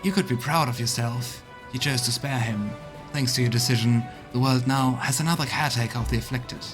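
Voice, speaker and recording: A man voicing a solemn, wise gold dragon in healer guise from a fantasy game, with formal, measured speech. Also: medium pitch, lightly acted. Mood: gentle, approving. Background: game music.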